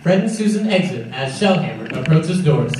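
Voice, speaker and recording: A man's voice: a little high, sing-song, normal speed, a crowd in the background. A man announcing stage directions as the narrator of a radio-style drama. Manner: announcing, declarative. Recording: echoey hall from far off, clear noise.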